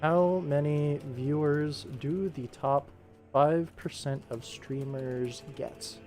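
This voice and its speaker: A man's voice: fairly high pitch, fairly even intonation, slow, a little mellow, faint music behind. A man hosting a casual livestream, thinking aloud in a laid-back way as he works. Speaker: animator, livestream host. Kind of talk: casual livestream work commentary.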